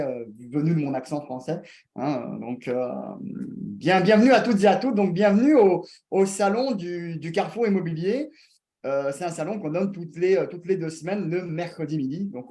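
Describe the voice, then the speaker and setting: A man hosting a real estate webinar over a video call, speaking lively and addressing his audience directly with questions. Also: a little high. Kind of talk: presenting to an online audience.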